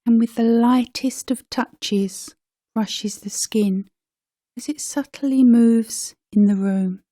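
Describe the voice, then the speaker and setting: Slow, mellow-voiced woman guiding meditation. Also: a little high, dry recording, studio quality. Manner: gentle, unhurried, pausing between short phrases. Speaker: meditation teacher. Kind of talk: guided meditation narration.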